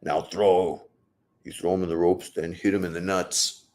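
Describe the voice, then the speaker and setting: A deep-voiced man gives loose live commentary on a bout, cursing freely and cracking jokes between punches. His low voice stays casual and blunt as he shouts encouragement at the fighters and reads out viewer questions. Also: little pitch movement, normal speed. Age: adult, old enough to say he got old. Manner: profane, blunt and joking. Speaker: livestream host reacting to a boxing fight.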